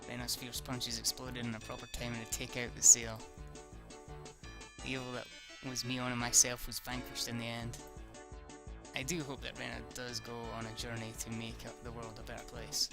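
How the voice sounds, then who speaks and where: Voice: mid-range voice, completely flat delivery, moderate pace, gravelly. An amateur reader narrating a visual novel aloud, stumbling and self-correcting, with casual chatty delivery. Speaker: amateur reader, video host. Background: music.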